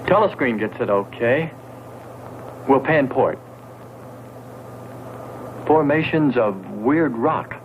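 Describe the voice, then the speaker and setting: A man's voice: mid-range voice, expressive, slow pace, pauses often, phone-quality sound. An actor playing an eager, plain-spoken male crewman in a 1960s science fiction film, with a matter-of-fact delivery. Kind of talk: 1960s sci-fi film acting. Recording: thin band-limited old film soundtrack, faint noise.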